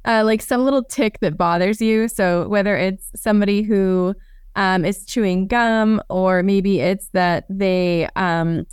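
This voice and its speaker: An upbeat woman host asks questions and agrees readily, keeping the talk encouraging. Her voice is smooth and clear at an ordinary pitch, and the sound is close and dry. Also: steady tone, measured pace, a little mellow, no echo. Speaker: podcast host. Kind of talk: casual interview.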